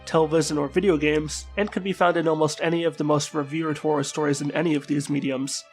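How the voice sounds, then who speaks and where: A man with a congested, flu-struck voice reads a scripted video game review as the channel's host. His pitch sits a little high and his phrasing is formal and wordy. Faint music plays behind him. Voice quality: stuffed-up, congested. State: sick with a flu-like bug.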